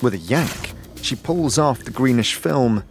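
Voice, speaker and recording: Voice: medium pitch, animated, unhurried, creaky, faint music behind. A narrator reading scripted second-person fantasy narration for an audio drama.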